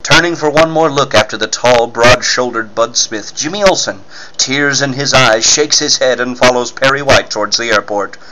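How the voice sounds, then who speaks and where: A man's voice: mid-range voice, normal speed, music in the background, rough recording. A man reading scripted narration as a dramatic 1940s-style radio serial announcer.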